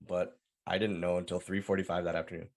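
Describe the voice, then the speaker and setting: A man's voice: low voice, flat delivery, fast talker, darkish tone. A man answering interview questions in a plain, matter-of-fact way.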